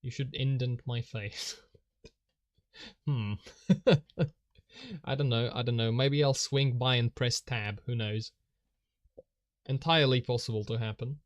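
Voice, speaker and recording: A man's voice: mid-range voice, fairly even intonation, unhurried, long pauses, lo-fi sound. A man whose first language is Polish, rambling and self-mocking as he thinks aloud while drawing on a live stream, chuckling often.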